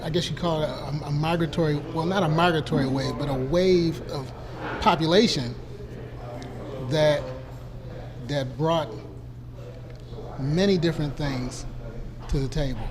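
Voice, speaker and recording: A man with a somewhat high voice speaks on a roundtable panel, halting and restarting as he searches for the right words. Clear murmur of other people sits behind him. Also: fairly even intonation, a crowd in the background. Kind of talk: panel discussion.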